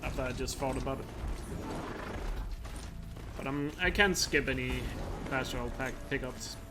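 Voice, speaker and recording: A man's voice: medium pitch, normal speed, noisy background. Chatty Danish-accented man streaming a speedrun. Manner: chatty, laughs often, swears at his mistakes. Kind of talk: casual live-stream commentary. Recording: stream mic with game sounds behind.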